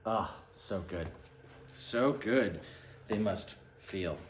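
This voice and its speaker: A man's voice: on the low side, expressive, very slow, a little hiss or hum. A man reading a storybook aloud as satire, mock-dramatic in the telling and dropping into sarcastic, casual muttered asides. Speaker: host reading a children's book aloud with mocking commentary. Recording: roomy, echoing sound.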